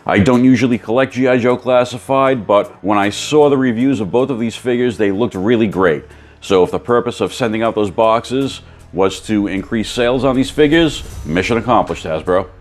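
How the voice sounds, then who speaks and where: A hoarse man hosting a toy review video, chatty and informative. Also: lowish voice, moderate pace, soft background music.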